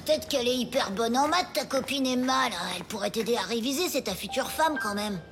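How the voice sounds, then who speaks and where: A woman voicing a mild, hesitant, placating schoolboy sidekick in an animated children's comedy. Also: on the high side, fairly quick, music in the background, lightly acted. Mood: reassuring. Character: mild, hesitant schoolboy, the hero's best friend.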